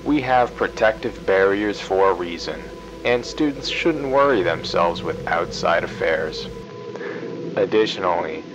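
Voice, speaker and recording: Slow deep creepy principal guy doing a formal retro psa, really measured. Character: ominous, stiff boarding school principal. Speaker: school principal's announcement. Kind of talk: scripted retro public service announcement. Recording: dull lo-fi 1990s tape broadcast. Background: music.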